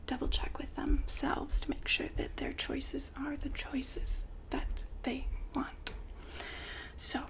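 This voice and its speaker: A woman whispering softly and breathily in an ASMR roleplay, playing a gentle, attentive shop consultant. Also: sits high, vocal fry. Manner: gentle, attentive customer-service patter. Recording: faint background noise and ambience.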